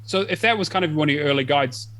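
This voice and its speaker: Fast-talking New podcast host. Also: male voice, fairly high pitch, animated, fairly bright tone. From New Zealand. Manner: quick, repeats words when starting. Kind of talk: casual podcast chat.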